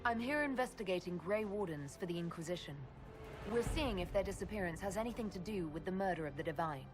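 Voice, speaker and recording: An actress playing a calm, courteous and inquisitive woman adventurer in a video game. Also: fairly low pitch, fast talker, music in the background, natural voice. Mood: direct. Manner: polite, questioning. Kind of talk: video game dialogue acting. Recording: long hall reverb, faint noise.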